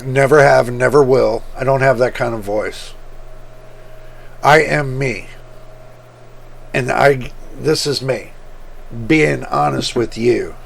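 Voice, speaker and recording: Slow-talking man with a rough, gravelly voice. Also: mid-range voice. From United States. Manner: slow, rambling, repeats himself. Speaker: PC building channel host. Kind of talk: casual talk to camera.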